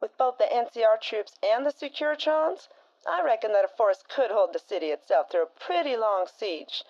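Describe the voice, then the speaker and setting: A woman's voice: on the high side, lively intonation, moderate pace, highs cut off, close to an everyday voice. A woman doing a folksy, blunt frontier co-host. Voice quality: folksy drawl and slang. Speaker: radio co-host.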